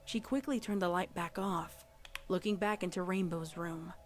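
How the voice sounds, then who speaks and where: A woman's voice: fairly low pitch, moderate pace. A woman giving a scripted, dramatic narration of a story. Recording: loud music behind voice. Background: music.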